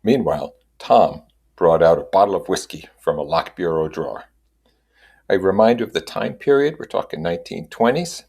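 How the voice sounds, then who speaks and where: Male reader, very low pitch, husky, novel narration with comments. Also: lively intonation, moderate pace, darkish tone. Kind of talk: reading a novel aloud with comments.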